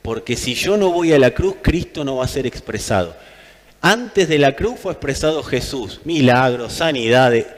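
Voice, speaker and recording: A man's voice: medium pitch, lively intonation, moderate pace. A man with an Argentine accent preaching a sermon in an emphatic manner, repeating phrases for effect. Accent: Argentine Spanish. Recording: long hall reverb.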